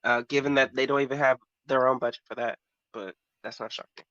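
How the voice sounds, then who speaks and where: A man's voice: mid-range voice, fairly even intonation, a little fast, pushed and bright, no echo, dull audio. A man chatting casually as a panel host, with a sassy, blunt, slangy manner. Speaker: host on a pop culture panel show. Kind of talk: casual chat.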